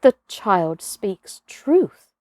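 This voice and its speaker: A woman's voice: medium pitch, sing-song, very slow, a little mellow, no echo, pitched below the performer's own voice. A woman voicing a veteran male elephant tracker in a storybook, solemn and awed, with a grave, declamatory manner. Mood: grave certainty.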